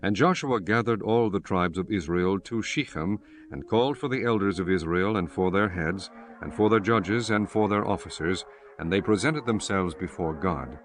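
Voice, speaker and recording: A man's voice: low-pitched, normal speed. A man reading scripted narration for a dramatized audio Bible.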